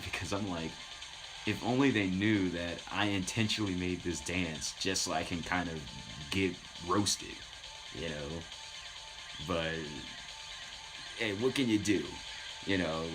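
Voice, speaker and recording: A man's voice: deep voice, rough recording. A man casually telling anecdotes as a streamer, in an informal, self-deprecating way.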